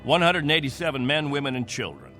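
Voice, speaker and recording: Proud, forceful colony captain. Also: male voice, fairly high pitch, fast, soft background music. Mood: weary relief. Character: proud, possessive captain of stranded space colonists. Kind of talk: radio drama acting.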